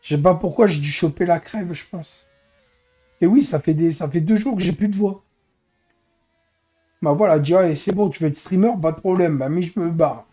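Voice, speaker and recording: A hoarse man who is losing his voice, grumbling in exasperation as he comments live on his racing game stream. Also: fairly high pitch, moderate pace, long pauses, dark tone. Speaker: streamer and racing league head.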